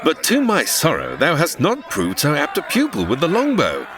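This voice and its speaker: An actor playing a hearty, swaggering heroic outlaw leader in a comedic audio drama, with theatrical archaic speech. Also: male voice, fairly high pitch, wildly swooping pitch, moderate pace. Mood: jovial.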